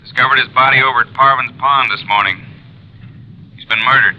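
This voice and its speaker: An actor playing a grim, brisk homicide sergeant heard over a telephone in 1950s radio drama. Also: male voice, mid-range voice, fairly even intonation. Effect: telephone filter. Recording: muffled radio telephone effect.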